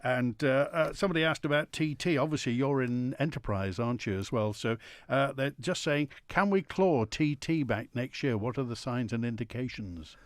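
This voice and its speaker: A man's voice: medium pitch, moderate pace, no room sound. A man hosting a live radio phone-in, chatty and peppering his questions with uhs.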